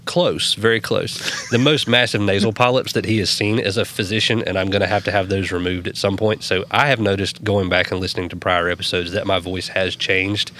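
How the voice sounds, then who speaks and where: A man's voice: low-pitched, fairly even intonation, a little fast, hardly any pauses, bright, forceful tone, slight background noise. A man co-hosting a podcast, talking in a rambling, joking way with a nasal voice. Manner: rambling, self-correcting, joking. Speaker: podcast co-host. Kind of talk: casual chat.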